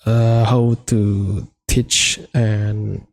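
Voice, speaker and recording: Slow, gravelly man. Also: on the low side, level tone. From Indonesia. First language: Indonesian. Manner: halting, hesitant non-native phrasing. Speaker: coding tutorial host. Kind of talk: screen-recorded coding walkthrough.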